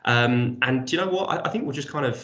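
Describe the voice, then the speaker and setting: A man's voice: mid-range voice, fast talker, a bit bright, highs cut off. A man hosting an interview podcast, speaking with a cold and rambling a little, with many fillers and repeated words. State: has a cold. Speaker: podcast host. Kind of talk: casual interview chat.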